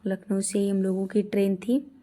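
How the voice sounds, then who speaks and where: A woman in her thirties with a hoarse voice, chatting homely and warmly to her viewers as she narrates a travel vlog. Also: mid-range voice, steady tone, normal speed. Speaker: vlogger.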